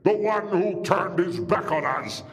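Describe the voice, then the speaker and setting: A man's voice: high-pitched, expressive, a little slow. A man playing a vengeful, arrogant alien war lord in an audio drama, with grandiose, threatening delivery. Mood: bitter, vowing. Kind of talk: audio drama villain acting. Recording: long hall-like reverb.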